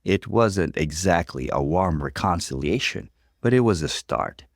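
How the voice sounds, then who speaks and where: A man reading a story aloud as scripted, expressive narration. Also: sits low, measured pace, gravelly, no echo, clean studio sound.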